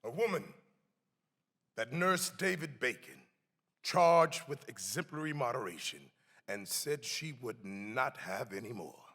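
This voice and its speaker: A man reads a historic text aloud as an actor would, slowly and with wide, animated swings of pitch. His voice is bright and forceful, ringing with long hall reverb. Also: breathy edge. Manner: theatrical, deliberate delivery with swelling emphasis. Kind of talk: dramatic reading of a historic pamphlet.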